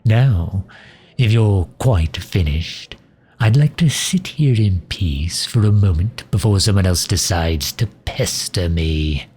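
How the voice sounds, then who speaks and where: A man playing a sardonic, loyal fantasy mage advisor in an intimate ASMR roleplay, dry and grumbling, with a husky, breathy delivery. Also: low voice, expressive, slow, exaggerated voice. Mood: sardonic, prickly. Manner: dry, grumbling, sharp-tongued, with hesitant breaks.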